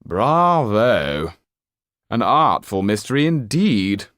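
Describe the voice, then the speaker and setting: A man's voice: on the low side, sing-song, slow, a bit bright, dry recording, clean studio sound, pitched above the performer's own voice, exaggerated voice. A man voicing a haughty, pompous nobleman in an affected manner. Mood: condescending approval.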